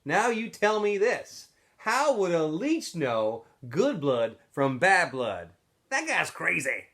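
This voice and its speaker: A man voicing an excitable, indignant townswoman from a storybook, exclaiming with scandalized emphasis. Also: on the high side, slow, pitched above the performer's own voice, exaggerated voice. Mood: indignant, excited.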